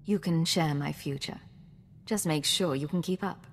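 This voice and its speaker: A secret agent woman in a video game, her voice a little low. She is measured, composed and dryly witty. Long reverb and some background noise surround her. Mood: cool, wry. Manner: measured, cool, dry. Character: composed, dry-witted female imperial secret agent.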